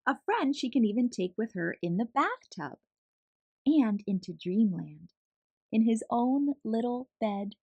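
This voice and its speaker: A woman reading a children's picture book aloud as an expressive narrator. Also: a little high, a little slow, slightly rough, dry recording, dull audio. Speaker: children's story reader, channel host.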